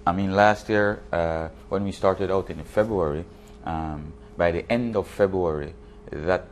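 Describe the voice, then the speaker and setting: Guy in his 40s, really deep voice, explaining stuff on a tv interview, bit of noise. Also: a little slow. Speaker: basketball league official, interview guest. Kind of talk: studio interview talk. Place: TV studio set, indoors. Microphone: lapel.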